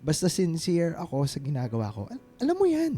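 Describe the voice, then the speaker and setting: A mellow, fairly high-voiced Filipino man in a radio romance. He is an earnest, devoted suitor who speaks softly pleading, halting lines. Music plays faintly behind him. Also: wildly swooping pitch. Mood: reassuring. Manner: pleading, halting, stumbles over words. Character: earnest, devoted man gently courting his former girlfriend. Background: background music.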